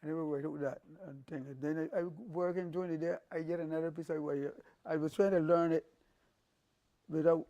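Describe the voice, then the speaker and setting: Older guy, gravelly voice, rambles on with lots of restarts telling stories. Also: fairly high pitch, fairly quick, dull audio. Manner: rambling, animated storytelling with repeated restarts. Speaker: calypsonian guest. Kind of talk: casual interview storytelling.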